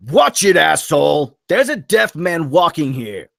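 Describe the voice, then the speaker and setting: A man's voice: a little high, sing-song, a little slow, creaky, a bit bright, dry recording, clean studio sound, full character voice. A man at a script table read playing a brash, sarcastic young delinquent brother, mouthy and emphatic. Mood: angry, shouting.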